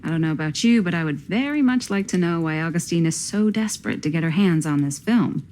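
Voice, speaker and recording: Guarded film director played by a woman, game drama: clipped, defensive, slightly low pitch. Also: expressive, a little fast, darkish tone, slight background noise, close to an everyday voice. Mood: guarded, firm.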